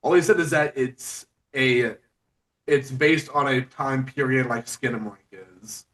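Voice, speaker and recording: A man's voice: medium pitch, measured pace, fairly bright tone, recorded from a distance, low-quality recording. A young man hosting a podcast in an informal, chatty way. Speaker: podcast host. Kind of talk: casual interview chat about horror films.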